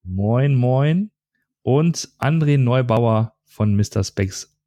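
A man hosts a tech podcast, greeting his guests and moving the talk along in an upbeat, friendly tone. His voice is dark and mellow. The recording sounds close and dry, with no room around it. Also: mid-range voice, lively intonation, slow, no echo. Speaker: podcast host. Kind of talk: podcast hosting.

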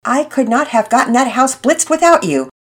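A woman voicing a busy mother in a storybook, speaking briskly and appreciatively. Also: high voice, sing-song, a bit bright, breathy edge, pitched above the performer's own voice, exaggerated voice. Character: warm, busy mother of the family.

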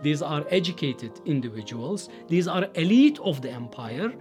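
A man from Turkey, a history scholar, explaining in a measured way and stressing key words. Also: fairly high pitch, sing-song, darkish tone, faint music behind. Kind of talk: interview excerpt, explanatory.

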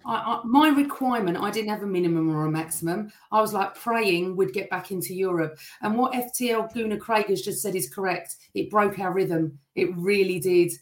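A woman's voice: mid-range voice, fairly quick, hardly any pauses. Emphatic woman in an echoey room. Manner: animated, emphatic, talks over others. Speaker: fan panel guest. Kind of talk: casual football fan chat.

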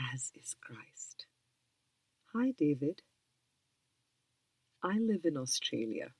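Low, breathy whispering woman reader. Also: no room sound. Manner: whispering, calm and unhurried. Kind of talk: soft whispered reading of a spiritual book.

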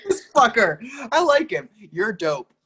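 A man's voice: extremely high pitch, sing-song, a little slow, pushed and bright, breathy edge, exaggerated voice. An actor playing a brash, over-familiar man out at a bar in a play reading, chummy, teasing and pushy. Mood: needling, effusive.

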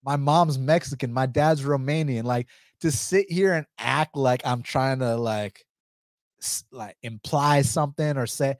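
A man's voice: mid-range voice, a little slow, no room sound, clean studio sound. A young American man giving casual, profane fight-pick commentary, talking straight to his viewers. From United States. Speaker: MMA fight picks commentator.

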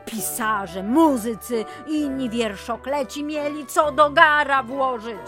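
A woman playing a bossy, slow-spoken, high-voiced aunt. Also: a bit bright, faint music behind. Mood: scolding, sardonic. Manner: bossy, theatrical, sardonic. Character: domineering, scolding, dramatic aunt of a grown nephew. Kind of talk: radio comedy drama acting.

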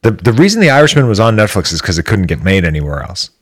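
A man with a very deep voice co-hosting a movie podcast, sounding stuffed up and nasal from a recent nose surgery. His takes are blunt and sarcastic, recorded close and dry. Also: sing-song, fast talker, no echo. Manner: blunt, sarcastic, swears freely. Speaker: podcast co-host. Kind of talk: casual chat about movies.

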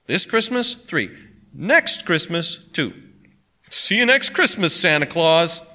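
Give the storybook pawnbroker as a male narrator acts him out a weary, irritable grumble with a shrewd haggler's crispness. The voice is forceful and bright, and its pitch swings widely through folksy phrases. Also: sing-song, moderate pace. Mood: proud, haggling.

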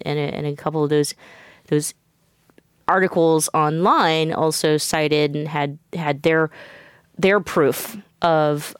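A woman's voice: sits low, smooth, dry recording, clean studio sound. A woman hosting a talk show interview, chatty and loose, with frequent fillers and restarts. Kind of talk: casual interview.

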